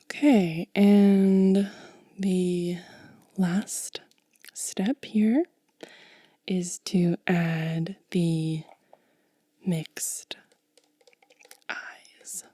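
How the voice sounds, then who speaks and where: A woman speaking in a soft, breathy whisper as an ASMR host, gentle and unhurried, close to the microphone. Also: fairly low pitch, fairly even intonation, no echo. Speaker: ASMR video creator and host.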